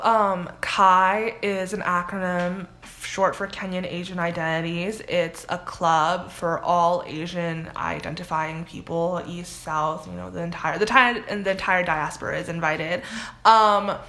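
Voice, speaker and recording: Bright-voiced chatty young woman. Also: fairly low pitch, measured pace. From Canada. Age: young adult. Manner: chatty, many "like" fillers, animated quoting. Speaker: interview guest. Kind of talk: casual interview.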